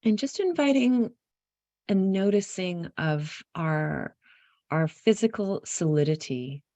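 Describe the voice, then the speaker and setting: A woman leading a guided meditation, giving gentle instructions in an unhurried, softly inviting way with pauses mid-phrase. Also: on the low side, animated, no room sound, dull audio.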